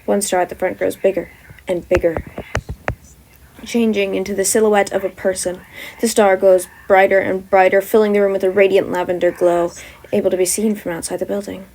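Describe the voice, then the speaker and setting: A woman narrating a fan-fiction podfic in a plain, steady storytelling manner. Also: medium pitch, a little fast, a little hiss or hum, rough recording. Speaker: podfic reader.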